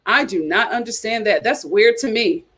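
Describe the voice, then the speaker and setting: Sassy high-voiced woman host. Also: normal speed, husky. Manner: sassy, animated, talks straight to viewers. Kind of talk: casual reality TV commentary.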